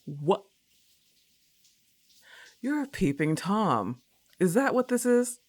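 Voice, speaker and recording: A young goth roommate, acted by a woman, drawls slowly in a roleplay audio. Her pitch swings widely as she teases, laid-back yet a little annoyed, with casual sarcasm. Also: sing-song, a little mellow. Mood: amused, teasing. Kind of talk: acted roleplay ASMR monologue.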